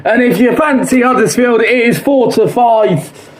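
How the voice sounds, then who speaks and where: A man with a hoarse, fading voice hosts his own show, predicting football scores in a chatty, repetitive way and filling pauses with "uh". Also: extremely high pitch, poor audio. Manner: chatty, quotes odds, repeats himself, frequent "uh".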